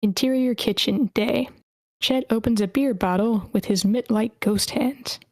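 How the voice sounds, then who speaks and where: A woman's voice: on the high side, measured pace, darkish tone, no echo, low-quality recording. Woman hosting a script read, dry sound. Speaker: host of a script table read, reading stage directions. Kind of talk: live read-aloud of screenplay directions and casual hosting. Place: online meeting.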